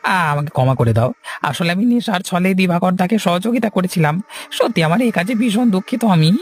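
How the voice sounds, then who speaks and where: A man's voice: high-pitched, animated, a little fast, husky. A man voicing a frightened, guilt-ridden villager in a horror cartoon, stammering and whining as he pleads. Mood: anxious remorse. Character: panicky guilt-ridden village man begging for his life. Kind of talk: Bengali horror cartoon dubbing. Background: music.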